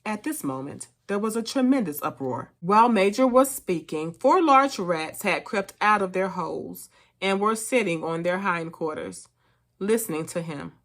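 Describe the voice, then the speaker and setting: A narrator reading a story aloud for students in a measured, expressive way. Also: medium pitch.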